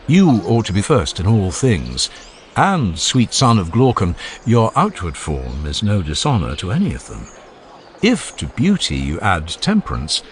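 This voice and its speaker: A man playing an older philosopher who questions patiently and courteously in formal, measured phrases. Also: deep voice, slight background noise. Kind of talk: dramatized philosophical dialogue.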